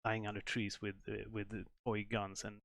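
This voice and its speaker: A man from Sweden, a game developer explaining at length in a stream interview, with many pauses and restarts. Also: on the low side, completely flat delivery, a bit bright, dry recording.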